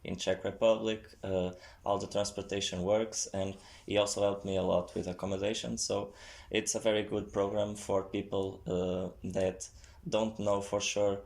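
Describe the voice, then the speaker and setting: Man, low gravelly voice, flat delivery, hesitant second-language english. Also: moderate pace. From Portugal. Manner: hesitant, many fillers. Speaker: podcast guest. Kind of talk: casual chat.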